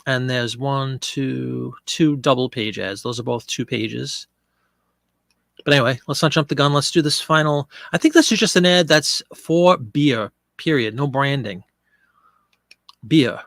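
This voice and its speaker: Brief: middle-aged male host with a cold, gravelly and congested, rambling live-stream chat, dry close sound. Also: mid-range voice, animated, unhurried, a bit bright, dry recording. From Pennsylvania. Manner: chatty, rambling, answers viewer chat. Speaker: live-stream eBay seller.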